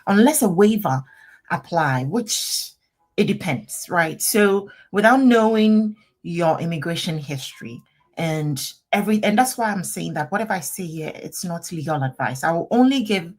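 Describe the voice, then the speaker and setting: A woman in middle age, talking on a live stream as an immigration attorney. She reads out viewer comments and answers them in a chatty, animated way. Her voice sits a little low, picked up by a webcam mic at her desk. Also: moderate pace.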